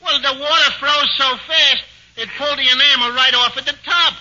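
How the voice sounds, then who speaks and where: An actor playing a rapid, streetwise city tough guy in a 1940s radio comedy, on a lo-fi old broadcast. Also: very high-pitched, steady tone, pushed and bright, slight background noise, full character voice. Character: fast-talking tough male city guy.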